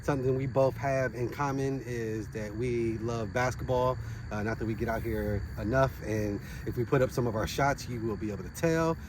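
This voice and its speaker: A man co-hosting an unscripted podcast in a slightly hoarse voice, halting and correcting himself as he goes. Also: medium pitch. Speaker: podcast co-host. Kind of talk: unscripted freestyle podcast chat. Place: outdoor basketball court. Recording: loud outdoor traffic noise. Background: passing vehicles.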